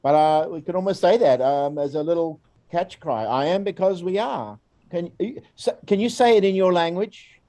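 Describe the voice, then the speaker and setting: A man's voice: sits high, normal speed. An Australian man directing a program, giving an informal recorded presentation in a chatty, rambling way as he draws out his colleague. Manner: chatty, rambling, warm encouragement of his guest.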